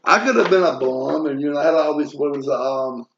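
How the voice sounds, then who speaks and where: A man in his forties talks on in a wandering personal monologue, blunt and full of swearing. His voice sits a little high for a man. The recording is dull and lo-fi. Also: fairly quick, a little hiss or hum. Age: 40s. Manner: blunt, profane, wandering asides. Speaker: vlogger. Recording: lo-fi muffled audio.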